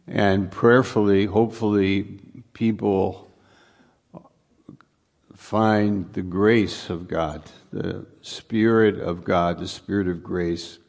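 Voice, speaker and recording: A male pastor speaking informally to his congregation, halting and repeating words as he goes, often putting questions to them. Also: on the low side, creaky. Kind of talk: informal sermon, welcoming guests to congregation. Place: live church service.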